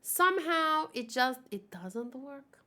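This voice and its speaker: A woman's voice: high voice, expressive, takes it slowly, sharp tone. A woman whose first language is Afrikaans, chatting casually in a rambling, giggly way and often correcting herself as she hosts a manga read-along.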